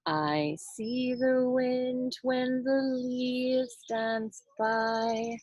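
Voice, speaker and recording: A woman's voice: fairly high pitch, a little mellow, dry recording. A woman leading a children's sign language storytime, demonstrating and explaining as she goes. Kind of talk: sign language storytime lesson with singing and read-aloud.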